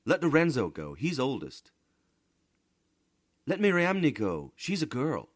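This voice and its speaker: Man doing an eager, high-pitched young child. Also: wildly swooping pitch, slow pace, dry recording, studio quality, exaggerated voice. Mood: earnest. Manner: eager, exclaiming. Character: excitable young children of a poor family.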